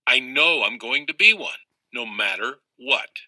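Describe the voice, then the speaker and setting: An earnest, determined schoolgirl from a storybook, read by a man. The voice is very bright with a husky edge, speaking firmly in a dry, close recording. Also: mid-range voice, expressive, a little slow, no echo, full character voice.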